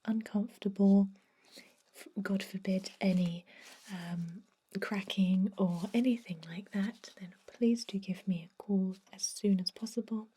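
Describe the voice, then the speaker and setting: A woman voicing a gentle, reassuring vampire dentist in a soft, breathy whisper for an ASMR roleplay. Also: medium pitch, steady tone. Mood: gentle, advising. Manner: soothing, reassuring, unhurried.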